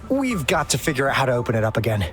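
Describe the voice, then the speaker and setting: A man's voice: mid-range voice, big pitch swings, rapid-fire, fairly bright tone. An actor voicing a laid-back, wry male explorer hunting treasure in a game cutscene. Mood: easygoing. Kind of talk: video game cutscene acting. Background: music.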